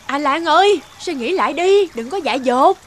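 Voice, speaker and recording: A woman's voice: high voice, animated, normal speed, a little hiss or hum. A woman voicing a worried, blunt village onlooker in a folktale cartoon, exclaiming and pleading. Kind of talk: dubbed folktale cartoon acting.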